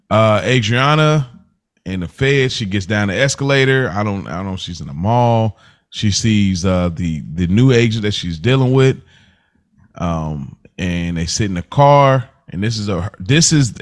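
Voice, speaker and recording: Laid-back man recapping a show. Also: lowish voice. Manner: rambling, loose, chuckles often. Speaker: podcast co-host. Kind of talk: casual recap chat.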